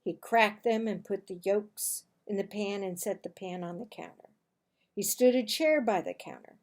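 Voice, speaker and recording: A woman in her sixties reading a children's story aloud in a dramatic, deliberate, expressive way. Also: mid-range voice. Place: small home office, indoors.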